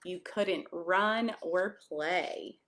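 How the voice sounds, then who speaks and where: A woman's voice: fairly low pitch, very slow, fairly bright tone. A woman reading a picture book aloud to young children, expressively, with friendly asides, questions and exclamations. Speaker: pastor reading a children's story.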